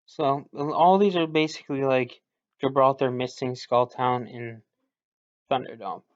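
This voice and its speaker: A man's voice: mid-range voice, steady tone, unhurried. Man commentating on a game, dull lo-fi recording. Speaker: gaming video commentator. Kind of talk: explanatory commentary, reading out game voice lines.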